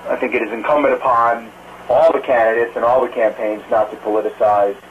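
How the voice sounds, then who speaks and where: Halting spokesman on a tinny phone line. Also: mid-range voice, fairly even intonation, slight background noise. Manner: halting, careful, measured. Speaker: campaign spokesman. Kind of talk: press conference call Q&A. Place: telephone conference call.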